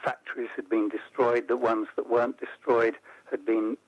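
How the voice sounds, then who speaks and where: Older British man, measured and gravelly. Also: medium pitch, flat delivery, no echo, dull audio. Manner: measured, explanatory, builds points step by step. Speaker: interview guest, policy analyst. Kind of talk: radio interview.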